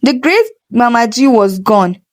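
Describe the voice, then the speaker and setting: A woman's voice: a little high, lively intonation, a little slow. A woman with a Nigerian accent telling a folktale as a dramatic storyteller. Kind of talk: dramatic folktale narration with character voices.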